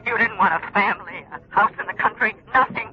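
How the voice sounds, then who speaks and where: A woman's voice: mid-range voice, a little fast, close to an everyday voice. An actress plays a scornful, worn-out middle-aged wife in a vintage radio drama. She sounds bitter and fed up, on a dull, telephone-like old recording.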